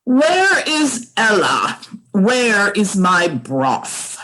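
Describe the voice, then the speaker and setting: A woman's voice: mid-range voice, big pitch swings, fairly bright tone, heavily acted, poor audio. A woman playing a snobbish, commanding old matriarch in a radio play, drawing out her words haughtily. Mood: imperious, irritated. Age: older. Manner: haughty, drawn-out, commanding delivery. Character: imperious, snobbish old grand dame matriarch. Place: remote home recording over video call. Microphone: video call.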